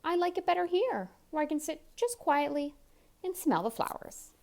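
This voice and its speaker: A woman voicing a gentle, easygoing young bull in a sing-song storybook manner. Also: high-pitched, sing-song, normal speed, pitched above the performer's own voice. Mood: dreamy, content. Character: gentle, contented young bull, dreamy and unbothered.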